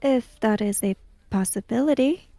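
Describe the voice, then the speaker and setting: A woman's voice: a little high, moderate pace, warm tone, dry recording, close to an everyday voice. A woman playing a reserved, hesitant young country woman traveller in a tabletop roleplaying game.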